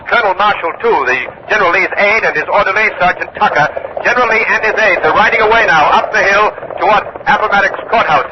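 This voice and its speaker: An actor playing an on-the-scene radio news correspondent, clipped, urgent and polite, in old live-news style. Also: male voice, high-pitched, lightly acted. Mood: excited. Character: urgent on-the-scene male radio reporter at a battlefield. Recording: muffled, noisy old radio recording.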